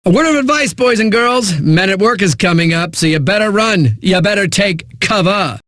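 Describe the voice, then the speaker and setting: High-pitched, wisecracking eighties radio DJ. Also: male voice, lively intonation, moderate pace, fairly bright tone, no echo, studio quality. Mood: wry, breezy. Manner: hyped, glib, sardonic. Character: breezy, smug, wisecracking male eighties radio DJ. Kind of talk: eighties music radio DJ patter.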